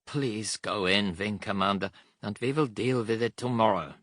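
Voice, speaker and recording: Man putting on a weary German camp officer, low-voiced. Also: a bit bright, no echo, studio quality, natural voice. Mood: weary, warning. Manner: long-suffering, halting.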